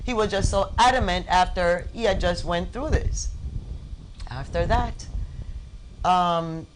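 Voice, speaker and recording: A woman in her forties recounting a personal story in conversation, halting and repeating words for emphasis. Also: lowish voice, slight background noise, rough recording. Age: 40s. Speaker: interview guest, bereaved mother.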